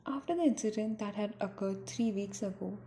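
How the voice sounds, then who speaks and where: Actress as worried niece, very soft dark tone, grave and earnest, radio play. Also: female voice, mid-range voice, brisk, slight background noise, natural voice. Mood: grave concern. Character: Roma, worried, secretive niece burdened by sad truth. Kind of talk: amateur radio drama acting.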